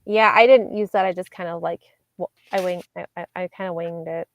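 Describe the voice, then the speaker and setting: A woman co-hosting a book club discussion over a video call, agreeable and casual, planning the next reads out loud. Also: on the low side, fairly even intonation, a little fast. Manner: agreeable, short replies, plans aloud.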